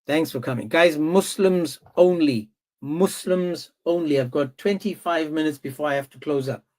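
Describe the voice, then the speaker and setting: A man hosting a live-stream debate, insistent and quick to interrupt. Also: a little high, a little mellow. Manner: insistent, interrupting, repeats himself. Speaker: stream host.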